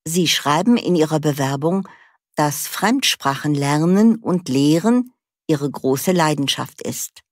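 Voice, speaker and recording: A formal, courteous female interviewer with a low voice, read by a woman. Heard dry and close, with no room sound. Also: expressive, takes it slowly, no room sound. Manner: formal, polite. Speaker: interviewer at a language school. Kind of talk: scripted learner dialogue.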